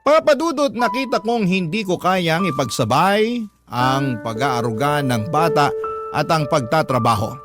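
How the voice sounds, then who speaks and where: A man's voice: on the high side, animated, a little slow. A man with a Filipino accent reading a first-person story as scripted radio drama narration. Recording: loud music bed under the narration.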